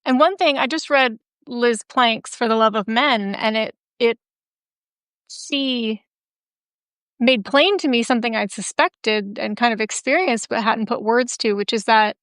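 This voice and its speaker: Clear-voiced woman host, candid chat. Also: on the high side, a little slow, a bit bright, dry recording. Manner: candid, frequent "like" fillers. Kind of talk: casual interview chat.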